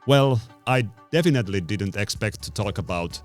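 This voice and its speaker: A man's voice: fairly low pitch, animated, moderate pace, over loud music. Wry male voice-over with a Finnish accent. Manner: dry, wry storytelling. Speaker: vlogger. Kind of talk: voice-over narration.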